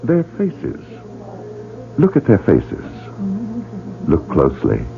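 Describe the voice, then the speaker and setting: A suave, menacing club director who teases his guest in a theatrical way. His deep, gravelly voice swoops dramatically, and the sound is narrow and phone-like with faint hum. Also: huge pitch swings, slow, exaggerated voice. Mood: sinister. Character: sinister, suave male director of a deadly club. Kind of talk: 1940s radio drama acting. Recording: phone-like old radio broadcast.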